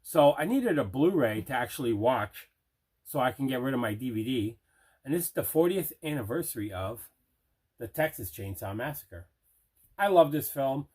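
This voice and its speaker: A man's voice: medium pitch, expressive, moderate pace, darkish tone, husky. A man hosting a casual unboxing video as a collector, talking in an enthusiastic, conversational way. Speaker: movie collector, video host.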